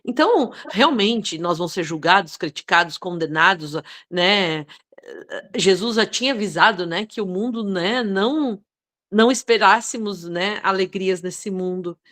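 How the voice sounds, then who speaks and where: A woman's voice: mid-range voice, lively intonation, measured pace, a bit bright. A Brazilian woman giving a reflective spoken contribution in a spiritual group discussion, often adding tag questions. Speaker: study group participant.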